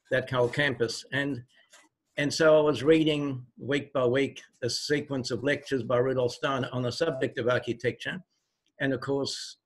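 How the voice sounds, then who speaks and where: Older male questioner from Switzerland, mid pitch, formal, erudite, discursive. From Basel, Switzerland. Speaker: audience member asking a question. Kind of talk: long, considered spoken question.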